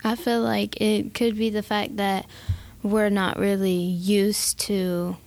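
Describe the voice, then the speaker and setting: Teen girl panelist, gravelly flat delivery, hesitant. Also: mid-range voice, takes it slowly, no room sound. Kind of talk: casual discussion.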